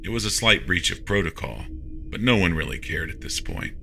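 Very deep, forceful male horror narrator. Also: big pitch swings, brisk, creaky, slight background noise. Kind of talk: scripted first-person narration of a sci-fi horror story.

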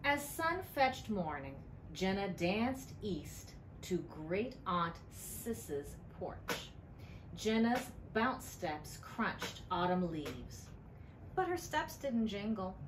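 A middle-aged woman, a librarian, reads a picture book aloud with deliberate storytelling emphasis. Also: mid-range voice, very animated. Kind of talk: expressive storybook read-aloud. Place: indoor library room. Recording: clearly noisy room ambience.

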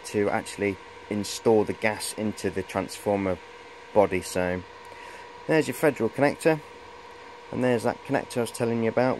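Low-voiced man, rambling over background noise. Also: darkish tone, low-quality recording. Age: middle-aged. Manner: rambling, hesitant, repeats "of course". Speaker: hobbyist presenter. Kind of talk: casual show-and-tell vlog. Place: cluttered indoor workshop.

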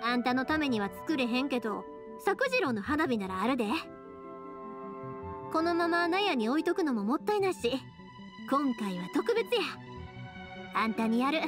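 A woman voicing a chatty, warm-hearted young fireworks maker with a Kansai accent in an anime-style game dub. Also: high voice, sing-song, normal speed, pauses often, music in the background. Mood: friendly, generous.

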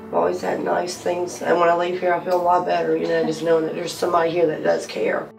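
A woman gives a personal testimonial in a gravelly, creaky voice. She sounds a little away from the mic, and guitar music plays behind her. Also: fairly low pitch, monotone, fast talker. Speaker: clinic patient. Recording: distant mic, music underneath.

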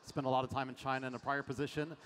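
A man's voice: medium pitch, racing, slight background noise. Middle-aged French man on a conference stage. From France. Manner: energetic, many fillers. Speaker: venture investor guest. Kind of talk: panel fireside chat. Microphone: headset mic. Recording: long hall reverb, faint ambience.

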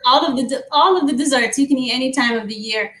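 A young woman who grew up in the Middle East chats about her baking from her home kitchen, talking over a webcam. Her voice sits a little high, and she speaks warmly, with plenty of fillers. The kitchen gives her voice a roomy echo. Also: quick pace. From Middle East, grew up in Amman. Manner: warm, chatty, many fillers. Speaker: guest baker. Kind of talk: casual chat and cooking demo. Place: home kitchen, on video call.

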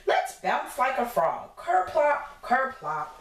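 A woman's voice: sits high, sing-song, slow pace, a bit bright. An American woman in her thirties reading a picture book aloud to young children as a teacher, encouraging them and asking simple questions. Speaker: preschool teacher. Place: small indoor room against a plain decorated wall. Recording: roomy echo, distant mic, clear background noise.

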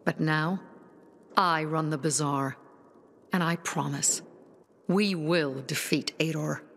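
A woman's voice: sits low, takes it slowly, gravelly, heavily acted. An actress playing a determined young woman who leads a resistance in a video game. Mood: grave, resolute. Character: determined young woman, resistance leader rallying her people.

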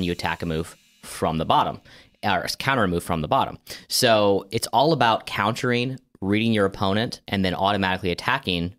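A man's voice: lowish voice, a bit bright. A man co-hosting a podcast, explaining things in casual, conversational talk. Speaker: podcast co-host.

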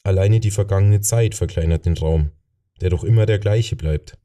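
A man's voice: on the low side, normal speed, mellow. A man narrating a novel in the first person as a scripted audiobook reading.

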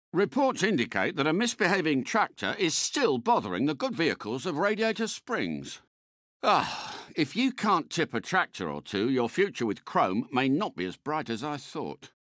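An actor playing a suave, courteous British spy car in a video game, a polite and unflappable mentor with refined, precise phrasing. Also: male voice, fairly high pitch, big pitch swings, moderate pace, fairly bright tone, no room sound, clean studio sound. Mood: composed, instructive. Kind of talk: scripted game character voice lines.

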